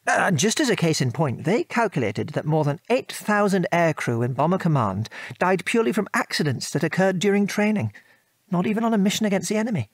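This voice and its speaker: A man's voice: high voice, big pitch swings, dry recording, full character voice. An actor with a British accent playing a former RAF airman and father, frankly recounting his war service in an articulate, old-fashioned way for an audio drama. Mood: somber, aggrieved. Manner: articulate, measured storytelling with old-fashioned British turns of phrase. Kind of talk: conversational audio drama reminiscence.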